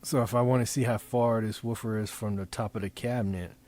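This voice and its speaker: A man talking through an informal software demonstration, improvising in a halting way as he thinks aloud and repeats phrases. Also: lowish voice, steady tone. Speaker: presenter demonstrating design software. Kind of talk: informal screen-recording tutorial, improvised.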